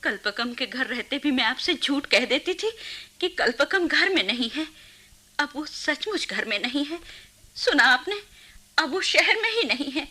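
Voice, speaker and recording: A woman's voice: high voice, big pitch swings, unhurried, bright, forceful tone, exaggerated voice. An actress playing an anguished middle-aged mother and long-suffering wife in a radio drama, with an emotional, dramatic delivery. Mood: bitter, defiant. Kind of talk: Hindi radio play acting.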